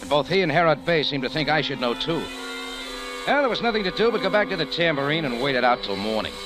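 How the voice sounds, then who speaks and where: An actor playing a hard-boiled American private investigator, clipped and insistent, in an old-time radio drama. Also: male voice, a little high, big pitch swings, fast, music in the background. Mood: narrating, tense. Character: tough American private investigator narrating his story. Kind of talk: old-time radio detective narration and acting. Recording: hissy old radio recording.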